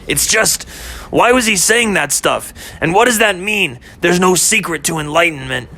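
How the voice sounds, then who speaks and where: A man acting out a troubled young man in an audio story, earnest and a little hesitant. His voice sits high and bright with a tense edge. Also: lively intonation, normal speed, exaggerated voice. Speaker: boyfriend in second-person story.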